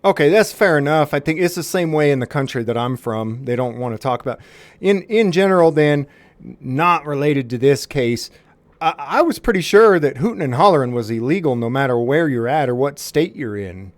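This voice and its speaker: A man's voice: on the high side, animated, moderate pace, dry recording. A man making a prank phone call to a police department, mock-serious and faux-sincere, often restarting his sentences.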